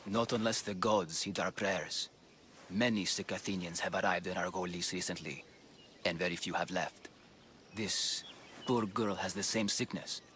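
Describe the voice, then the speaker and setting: An actor playing a grave, devout ancient Greek priest and healer with a solemn, measured manner in a video game. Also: male voice, low-pitched, steady tone, a bit bright, a little hiss or hum. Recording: game music behind the voice.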